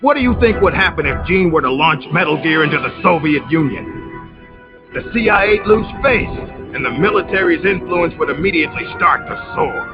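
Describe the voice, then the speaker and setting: An actor playing a scheming, bitter military officer in a video game cutscene, talking in a condescending, theatrical, taunting way. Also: male voice, fairly high pitch, lively intonation, a little fast, close to an everyday voice. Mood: smug explaining. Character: smug, scheming traitorous male military officer, bitter and mocking. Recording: muffled game audio under loud music.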